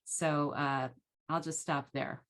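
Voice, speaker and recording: Low-voiced woman chatting on a video call. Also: fairly even intonation, slow pace, fairly bright tone. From United States. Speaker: panelist, former Fulbright scholar. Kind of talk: telling her story on a panel. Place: home room, indoors.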